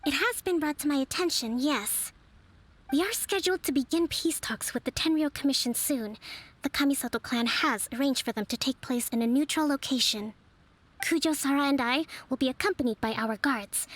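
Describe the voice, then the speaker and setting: A woman voicing a composed young leader and strategist who speaks in a measured, formal, articulate way. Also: high voice, natural voice. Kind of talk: game voice acting. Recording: studio voice with soft game music.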